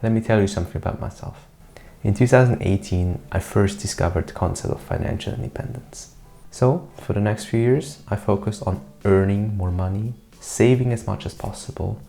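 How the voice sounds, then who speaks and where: A man in his thirties, from Switzerland, tells his own story in an earnest, matter-of-fact vlog narration. His voice sits low with a gravelly edge, and he slips in dry little asides. Soft music plays under him. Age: 30s. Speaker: YouTuber. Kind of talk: scripted personal vlog narration.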